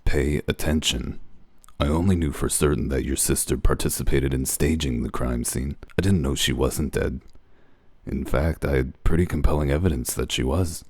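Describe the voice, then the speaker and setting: A man playing a gruff, dry wolf detective who explains things in a deliberate, self-assured way in a comic dub. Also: very deep voice, steady tone, a little mellow, no room sound, heavily acted. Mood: stern. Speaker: detective character and first-person narrator. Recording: music under the voice. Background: background music.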